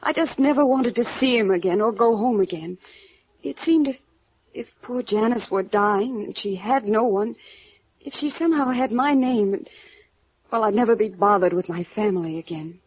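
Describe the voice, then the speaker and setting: A woman playing a guarded, defiant young runaway heiress, defensive and halting, in a 1950s radio noir drama. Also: medium pitch, natural voice. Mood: resigned confession. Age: young adult. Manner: defensive, halting when cornered. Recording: dull, lo-fi old radio recording.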